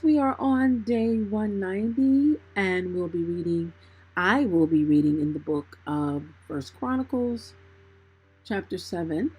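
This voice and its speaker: A woman's voice: fairly low pitch, darkish tone, breathy edge, poor audio. A woman hosting a Bible reading livestream, speaking to listeners in a friendly way, both chatting and reading aloud. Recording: music playing behind the voice. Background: background music.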